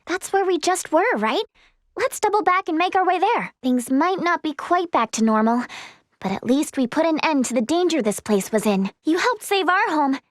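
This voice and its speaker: Very high-pitched perky teenage girl. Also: no echo, studio quality. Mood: upbeat, curious. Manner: perky, animated, teasing, youthful. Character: cheerful, excitable, inquisitive teenage girl, budding healer and scientist. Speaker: video game voice actress. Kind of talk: video game character dialogue.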